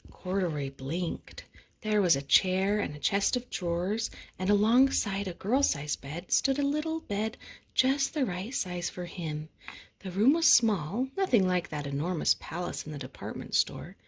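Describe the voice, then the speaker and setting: A woman narrating a children's picture book aloud in an expressive storytelling manner. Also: fairly low pitch, unhurried, slightly rough. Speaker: storybook reader.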